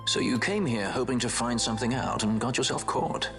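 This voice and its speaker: A man's voice: mid-range voice, brisk, close to an everyday voice. A man playing a wry, cool adventurer in a fantasy game, with a dry, chiding manner. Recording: loud orchestral music behind.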